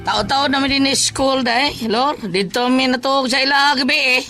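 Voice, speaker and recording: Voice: high voice, steady tone, moderate pace, music in the background, close to an everyday voice. A performer playing a wheedling, jokey villager in an audio drama, pleading and teasing repetitively. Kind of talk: comic horror audio drama acting.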